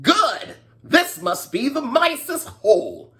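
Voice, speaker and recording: A storyteller acts out a greedy old man from a children's folktale. The voice jumps high above normal speech and swings widely. He is pushy and mean, barking exclamations and demands. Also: huge pitch swings, slow, sharp tone, full character voice. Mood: eager, scheming.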